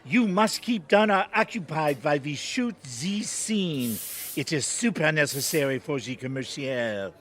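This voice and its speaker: An actor playing an excitable French film director with a French accent and muddled idioms, in an audio comedy drama. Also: male voice, fairly high pitch, a little slow, slight background noise. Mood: excitable, dramatic.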